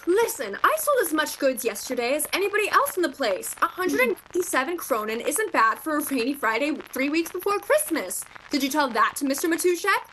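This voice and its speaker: A high, swooping voice for a defiant young shopgirl played by a woman in a radio play. She is proud and sarcastic, with a bright, cutting edge to her indignant delivery. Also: sing-song, brisk, few pauses, a little hiss or hum, exaggerated voice, rough recording. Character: proud, sharp-tongued, defiant young female shop clerk. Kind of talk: virtual radio play acting.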